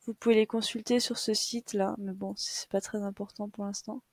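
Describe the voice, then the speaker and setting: A woman's voice: mid-range voice, little pitch movement, a little fast, slightly rough, no echo, clean studio sound. A young woman giving a patient, step-by-step screen tutorial as a teacher, with many fillers. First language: French. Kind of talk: screen-share tutorial lesson.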